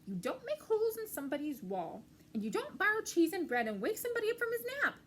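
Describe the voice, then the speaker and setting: Woman voicing an excitable, high-pitched little storybook mouse. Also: fast talker, a little hiss or hum. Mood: stern, weary. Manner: exclamatory, swings from stern to panicky. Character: excitable, fussy little male pocket mouse, easily frightened.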